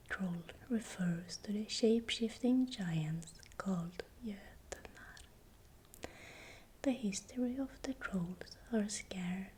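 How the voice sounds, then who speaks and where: A woman with a Swedish accent whispering breathily and deliberately in short, soft phrases, as the host of an ASMR video. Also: mid-range voice, a little hiss or hum. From Sweden. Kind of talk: whispered ASMR, explanatory narration.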